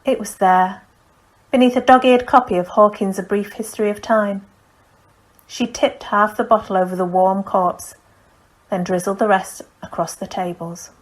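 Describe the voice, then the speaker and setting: A woman narrates fiction as an audiobook reader, with a medium-pitched voice. She delivers prose from the page in a scripted storytelling style. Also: fairly even intonation, moderate pace, poor audio.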